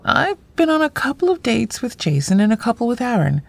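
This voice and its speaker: An actress playing a self-deprecating screenwriter in a naturalistic audio drama, with dry, sardonic banter. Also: female voice, on the high side, sing-song, brisk, creaky, heavily acted. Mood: wry, playful. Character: wry self-deprecating woman screenwriter among close friends.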